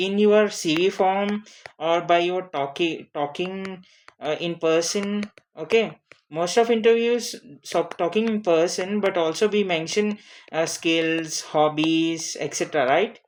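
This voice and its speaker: A non-native English speaker teaching an informal lesson on introductions, speaking haltingly, repeating phrases and often checking in with a questioning tag. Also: medium pitch. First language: not English. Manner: halting, repetitive, frequently checking with "okay?". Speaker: online teacher of interview English. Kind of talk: informal spoken lesson with examples.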